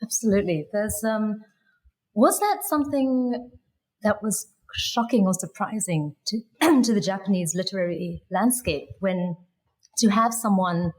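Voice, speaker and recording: A woman's voice: medium pitch, measured pace, low-quality recording. A woman moderating a literary festival conversation, asking polite, searching questions with many ums and restarts. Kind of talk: onstage interview.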